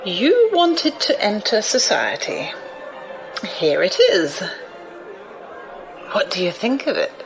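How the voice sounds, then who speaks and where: An older, wealthy noblewoman in an audio drama, commanding and shrewd. She takes her time with every phrase, her pitch swinging widely as she condescends. Music and crowd chatter sit behind her. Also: wildly swooping pitch, slow, long pauses, heavily acted, low-quality recording. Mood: amused, superior.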